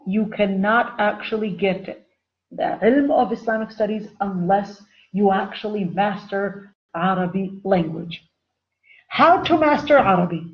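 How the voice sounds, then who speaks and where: A woman's voice: medium pitch, dull audio. A woman teaching an informal online Islamic studies lesson, chatty and repeating her phrases for emphasis while addressing the viewers directly.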